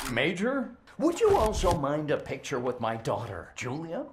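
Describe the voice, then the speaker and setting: An actor playing a gruff, brusque older father who barks orders impatiently in a video game. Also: a little high, sing-song, slow pace, noisy background. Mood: bossy. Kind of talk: dramatic game dialogue. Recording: music over the voice.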